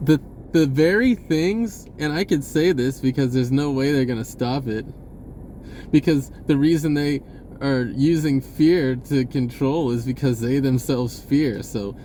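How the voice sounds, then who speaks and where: A man giving a rambling, casual spiritual monologue in a halting, informal and blunt way, swearing at times. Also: medium pitch, dark tone, a little hiss or hum. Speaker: vlogger speaking a personal message.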